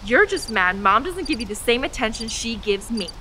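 A woman's voice: on the high side, very animated, normal speed, background noise. A woman playing a stubborn, petulant young girl, a little sister, in a dramatic audio drama style. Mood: annoyed, taunting. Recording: faint outdoor night ambience, crickets.

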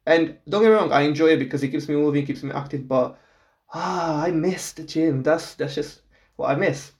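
A young man from Portugal in a casual chat, relaxed, laughing often and repeating words as he starts his sentences. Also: on the high side, moderate pace.

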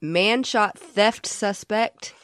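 A woman co-hosting a podcast, chatting casually and giggling through her stories. Her voice is going hoarse as she talks. The sound is close and dry, with no room echo. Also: medium pitch, very slow, fairly bright tone. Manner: giggly, laughs often. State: losing her voice. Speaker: podcast co-host. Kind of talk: casual chat.

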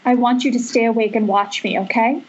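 Woman acting Alice, husky, determined young horror heroine. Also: on the high side, fairly even intonation, fairly quick, lightly acted. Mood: resolute. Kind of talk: amateur screenplay table read. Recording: dull, lo-fi internet call.

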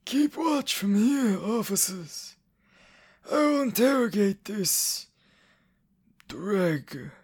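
High, raspy, condescending interrogator. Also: male voice, lively intonation, heavily acted. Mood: cold, contemptuous. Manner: formal, measured, condescending menace. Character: cruel, smug inhuman city official interrogating a prisoner.

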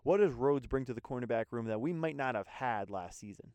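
A young man from New Jersey, hosting a podcast and reading prepared interview questions smoothly. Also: medium pitch, expressive, brisk, a little mellow, no room sound. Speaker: podcast host.

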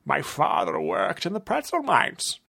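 A man's voice: on the high side, huge pitch swings, a little slow, gravelly, pushed and bright, breathy edge, no echo, studio quality, voice pushed up, heavily acted. A man voicing a sly, pampered male club-owner villain who talks in a smug purr. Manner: purring, smug.

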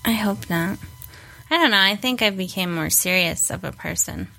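A woman's voice: medium pitch, moderate pace, vocal fry. A woman guest on a podcast, chatting casually and acting out the voices in her stories. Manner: animated storyteller, acts out quotes. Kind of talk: casual chat.

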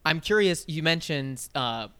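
A male podcast co-host with a bright, forceful and very smooth, crisp voice. He chats with enthusiasm and pads his sentences with fillers as he asks the guest questions. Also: on the high side, animated, unhurried. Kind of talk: casual podcast interview.

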